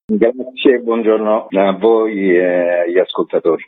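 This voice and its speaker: A man giving measured explanations as a guest in a radio interview. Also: on the low side, creaky, husky. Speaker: festival artistic director, interview guest. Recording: tinny lo-fi phone-like radio line.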